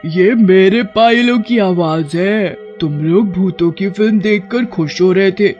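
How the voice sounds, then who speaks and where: A woman's voice: mid-range voice, measured pace, a little mellow, muffled recording, soft background music, close to an everyday voice. A woman voicing a menacing witch in a cartoon horror story, gloating and taunting as she talks. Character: menacing female witch haunting a cinema.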